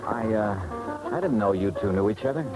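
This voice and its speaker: An actor playing a tough, wry, guarded American investigator in a 1950s radio drama. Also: male voice, lowish voice, normal speed, lightly acted, low-quality recording. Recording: vintage 1950s radio recording, music behind.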